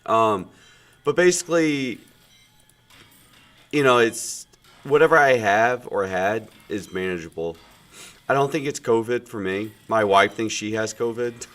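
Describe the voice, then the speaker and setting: A man in his thirties with a cold, chatting casually while streaming a game, his normally loud voice sounding muted and subdued, rambling with many fillers. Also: on the low side, animated, a little slow, long pauses, faint music behind. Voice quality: loud projecting voice, subdued today. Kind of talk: casual chat while gaming.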